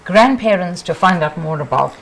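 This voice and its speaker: Woman lecturer, low voice with some fry, talks in short chunks for the interpreter. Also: animated, crowd noise, rough recording. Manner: measured, pausing for the interpreter. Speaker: guest lecturer.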